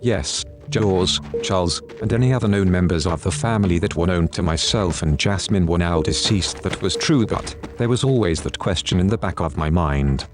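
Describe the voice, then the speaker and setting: Man acting a deep-voiced, wary police detective. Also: music in the background, lightly acted. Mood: wary, brooding. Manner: measured, serious. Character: dutiful, wary male police detective telling his story. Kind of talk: scripted audio drama dialogue and narration.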